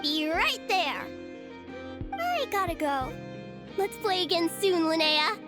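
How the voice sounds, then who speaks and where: Brief: very high, animated child, eager and playful, anime game character over music. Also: female voice, slow pace, heavily acted. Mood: cheerful. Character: playful young child eager to act out storybook scenes. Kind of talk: anime-style video game voice acting. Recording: music under the voice.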